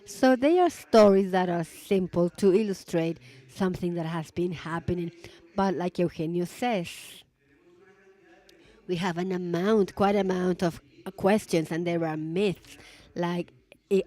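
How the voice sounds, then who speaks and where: A woman giving a simultaneous interpretation of a business conference talk, halting and rephrasing as she goes. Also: fairly low pitch, mellow.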